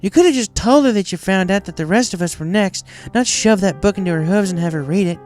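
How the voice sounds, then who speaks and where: A woman voicing a blunt, protective female farm pony with a folksy, forceful, exasperated manner in a story reading. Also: mid-range voice, animated, fast, faint music behind, pitched above the performer's own voice, natural voice. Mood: firm, upset. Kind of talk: dramatized fan fiction reading.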